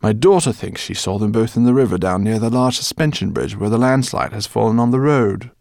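A man's voice: lowish voice, fairly quick, creaky, darkish tone, no echo, studio quality. A man reading an audiobook, voicing a kindly, flustered older male professor.

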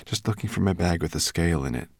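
A man voicing an eager, kindly human boy in an audiobook, talking in a quick and earnest way. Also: low voice, a little mellow, no echo. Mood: reassuring. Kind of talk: audiobook character acting.

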